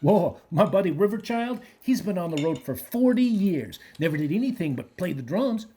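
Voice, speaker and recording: An actor playing an easygoing slacker handyman and musician in a radio play, with laid-back, slangy speech and a husky voice. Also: high voice, big pitch swings, moderate pace, warm tone, slight background noise.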